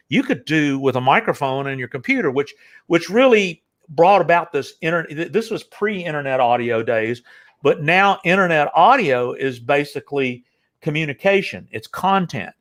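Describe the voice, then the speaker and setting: A man's voice: on the high side, fairly bright tone. An older man hosting a casual interview, talking in long rambling stretches full of fillers and restarts. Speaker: interview host.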